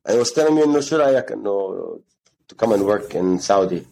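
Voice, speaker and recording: A man's voice: fairly low pitch, animated, very fast, gravelly, mellow, rough recording. A man in his thirties, from Ghana, telling his story as an interview guest in a rambling way, with Arabic phrases mixed into his English. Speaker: guest, gym co-founder.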